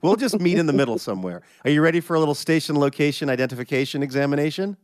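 A man's voice: a little high, expressive, rapid-fire, dry recording. American radio host, man with scratchy voice. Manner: casual, conversational. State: slightly scratchy voice, under the weather. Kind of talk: scripted radio intro, casual banter.

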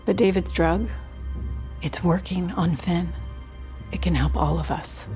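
An actress playing a desperate, guilt-ridden dying scientist in a naturalistic audio drama, with halting, hesitant delivery. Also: female voice, on the low side, fairly even intonation, mellow, faint music behind, lightly acted. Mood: guilty, hesitant.

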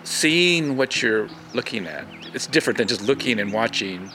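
A man's voice: medium pitch, expressive, normal speed, noisy background. A man farmer talking casually and reflectively in an interview outdoors.

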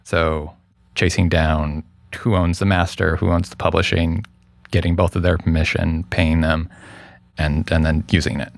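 A man's voice: deep voice, level tone, normal speed, creaky, no echo. A man as an interview guest, explaining his production work casually and thoughtfully, often repeating words as he goes.

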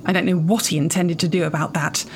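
A woman playing a haughty, sharp-tongued young noble mistress in an audio drama, imperious and sarcastic. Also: lowish voice, fairly even intonation, fast, close to an everyday voice. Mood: disgusted. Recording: music playing behind the voice.

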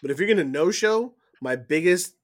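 Earnest, slightly higher-voiced man. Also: lively intonation, normal speed. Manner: earnest, hesitant, repeats words. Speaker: podcast co-host. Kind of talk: casual chat.

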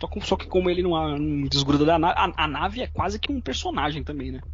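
A man's voice: fairly high pitch, expressive, fast talker. A man with a Brazilian Portuguese accent, chatting casually on a podcast and retelling a story with lots of fillers. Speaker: podcast co-host. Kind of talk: casual chat recapping episodes. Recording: muffled recording with faint music.

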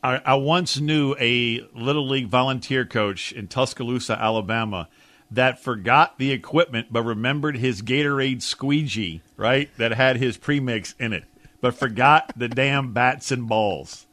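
A man co-hosting a sports talk radio show with animated, jokey banter. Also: mid-range voice, normal speed, dry recording.

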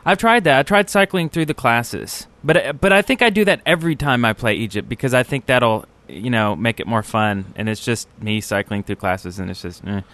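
A man's voice: mid-range voice, normal speed, few pauses, a bit bright. A man co-hosting a podcast, chatting informally and a bit rambling about video games. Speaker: podcast co-host. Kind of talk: casual gaming podcast chat.

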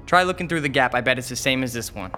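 A flippant young boyfriend in a horror radio drama, grumbling and wisecracking. His voice is bright and forceful, with some music underneath. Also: mid-range voice, animated, fast. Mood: baffled irritation. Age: young adult. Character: flippant, irritable young boyfriend trapped in an apartment. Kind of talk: audio horror drama acting.

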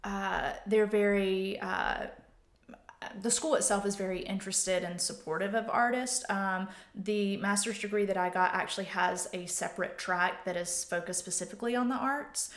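A woman's voice: medium pitch, fairly even intonation, normal speed. A woman in her thirties talking casually and reflectively as an interview guest, in an informal way. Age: 30s. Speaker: guest, photographer. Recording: roomy, echoey sound.

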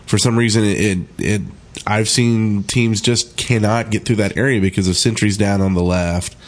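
Male panelist with a low-pitched voice for informal talk about video game play. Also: fairly even intonation, moderate pace. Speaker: podcast panelist. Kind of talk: casual game discussion.

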